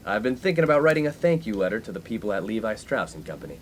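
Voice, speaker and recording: A man's voice: lowish voice, very animated, very fast, a little hiss or hum. An actor playing a pompous, skirt-chasing young college man in a 1950s comedic radio play, given to grandiose, wordy speeches. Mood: smug.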